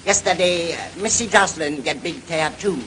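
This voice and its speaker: An actor playing an eager, servile ship's steward in a radio mystery, speaking broken English with a put-on Japanese accent. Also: male voice, on the high side, moderate pace, a bit bright, lightly acted. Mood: cheerful, eager. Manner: broken pidgin English, deferential. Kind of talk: old-time radio mystery acting. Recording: noisy old radio drama recording.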